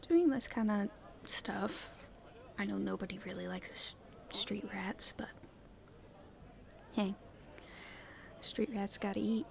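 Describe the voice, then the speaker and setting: A woman's voice: mid-range voice, expressive, slow, gravelly, pauses often, exaggerated voice. A woman playing a casual, friendly young street urchin girl in an audio roleplay, speaking hesitantly and informally. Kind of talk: roleplay ASMR acting.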